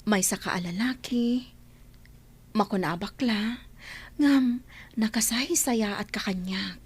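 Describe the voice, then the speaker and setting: A woman with a Filipino accent playing a grateful, sweet young working student in a radio drama, with expressive delivery. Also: mid-range voice, slow pace, slightly rough. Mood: happy, grateful. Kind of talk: Ilocano radio drama acting.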